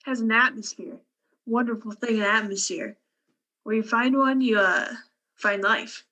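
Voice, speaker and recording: A woman playing a fatalistic, cerebral male scientist in a science fiction radio play, speaking in a measured, wry way. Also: on the high side, bright, forceful tone, low-quality recording. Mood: musing.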